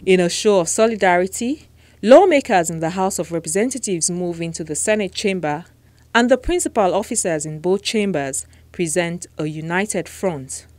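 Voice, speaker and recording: A woman's voice: on the low side, lively intonation, normal speed, a little mellow, dry recording. A woman with a Nigerian accent reading scripted news narration as a television presenter.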